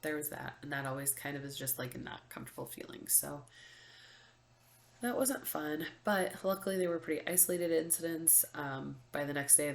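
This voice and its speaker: Young woman, congested, low gravelly voice, casual rambling vlog chat, echoey room. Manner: chatty, many ums and likes, laughs often. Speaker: vlogger. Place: room with window open, indoors.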